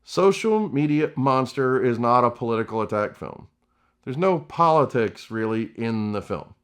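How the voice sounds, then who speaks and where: Emphatic man with lively, swooping pitch. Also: moderate pace, smooth. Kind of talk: vlog commentary.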